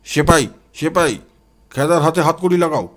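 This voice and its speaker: A man voicing a brisk male police officer in an audio story, giving orders in a curt, authoritative way. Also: fairly high pitch, a bit bright, lightly acted. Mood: commanding.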